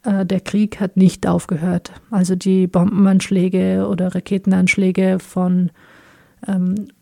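A woman from Afghanistan, a radio interview guest answering earnestly in a measured way, often pausing to find her words. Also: medium pitch, little pitch movement, creaky, dark tone.

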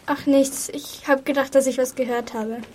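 A woman's voice: high voice, fairly even intonation, moderate pace, darkish tone, a little hiss or hum, lightly acted. A young schoolgirl playing a troubled thirteen-year-old orphan girl in an amateur radio play. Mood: casual. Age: child pupil, about 8th grade or younger.

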